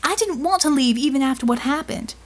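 A tough woman bartender from an audiobook story, as a woman narrator plays her. She is a proud, wounded ex-soldier with a middling pitch and a sardonic, defiant edge. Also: moderate pace, lightly acted. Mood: hurt, angry.

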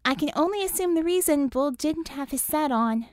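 Woman reader as superhero medic, female, for an audiobook: brisk and wry, raised pitch, punchy, close dry sound. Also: expressive, dry recording.

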